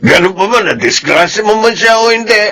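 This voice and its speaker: An actor playing an older father in a Filipino radio drama, with a gravelly voice and a Filipino accent. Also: high voice, expressive, fairly quick, sharp tone, exaggerated voice. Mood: stern questioning. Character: stern, disappointed older father.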